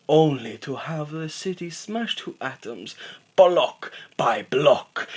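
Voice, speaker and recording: A war-crazed major delivers a grandiose cartoon villain's speech in a high-pitched, raspy voice. He savours each phrase slowly and theatrically, with wildly swooping intonation. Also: bright, forceful tone, exaggerated voice. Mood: gleeful, ecstatic. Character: gleefully war-mad Nazi major, bombastic cartoon villain. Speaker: amateur voice actor. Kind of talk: theatrical anime villain monologue. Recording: amateur recording on a poor mic.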